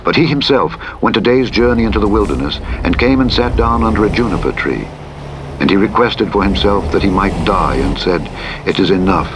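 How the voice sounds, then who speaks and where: A man with a very low voice reads scripture as a solemn narrator. His delivery is measured and declamatory. Clear truck engine noise runs behind him. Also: lively intonation. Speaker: audiobook narrator. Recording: clear vehicle noise over a radio playback. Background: truck engine and driving noise from a simulator game.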